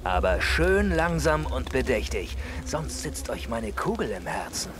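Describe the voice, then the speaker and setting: An actor playing a bold, mocking outlaw soldier with a sense of honour in a theatrical radio drama. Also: male voice, medium pitch, very animated, unhurried, heavy background noise. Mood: mocking, threatening. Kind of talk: German radio drama acting.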